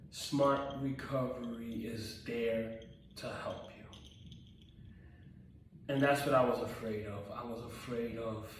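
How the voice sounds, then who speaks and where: A man's voice: mid-range voice, recorded from a distance, slight background noise. A man in his thirties giving a personal testimony vlog, earnest and repetitive, with frequent ums and self-corrections. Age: 30s. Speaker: vlogger. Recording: very echoey room, voice away from the mic.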